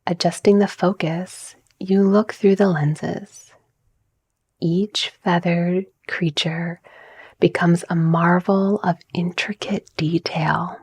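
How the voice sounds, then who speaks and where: A woman softly narrating a guided relaxation visualization in a breathy, soothing, measured manner. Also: fairly low pitch, steady tone, no echo. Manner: soft, breathy, soothing, gently measured delivery. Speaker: guided meditation narrator, mindset coach.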